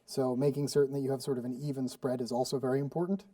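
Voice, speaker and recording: Man, cooking show host, rambly and casual, mellow tone, dry distant mic. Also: mid-range voice, level tone, racing, no room sound. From Sweden. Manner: chatty, rambling with many fillers and restarts. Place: studio kitchen.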